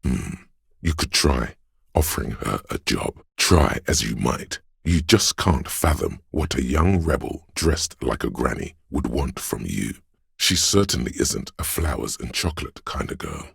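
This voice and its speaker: An extremely low, heavily rasping male voice plays a scheming inner voice in a video game. He narrates straight to the player with measured, coaxing insinuation. The sound is dry and close, with a gravelly creak under the words. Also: expressive, fairly bright tone, dry recording, exaggerated voice. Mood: coaxing, knowing. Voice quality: gravelly, husky bass. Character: smooth, sly, scheming inner voice of persuasion, male. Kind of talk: scripted second-person game narration.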